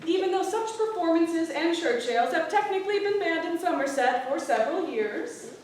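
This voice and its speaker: Lady narrating a play story, high voice, far from the mic, tons of echo. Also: lively intonation, normal speed, darkish tone. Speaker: actor reading a play synopsis aloud. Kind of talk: theatrical scripted narration. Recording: echoey hall from far off, faint noise.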